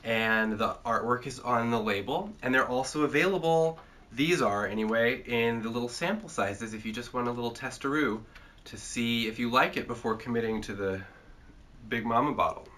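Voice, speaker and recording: Congested man with a bright voice. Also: medium pitch, normal speed. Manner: rambling and jokey, many filler sounds. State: congested from a cold. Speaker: host. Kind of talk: casual chat.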